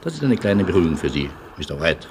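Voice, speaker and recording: Give me a deep-voiced, philosophical refugee. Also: male voice, big pitch swings, gravelly, exaggerated voice. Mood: gentle, pleading. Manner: deliberate, musing, theatrical with hushed drops. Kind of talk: German radio play acting. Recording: noisy old radio play recording.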